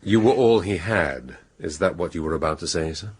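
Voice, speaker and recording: British detective inspector, really husky low voice, dry, probing, radio drama. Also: male voice, lively intonation. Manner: deliberate, pointed questioning. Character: senior police inspector, probing, dry, authoritative.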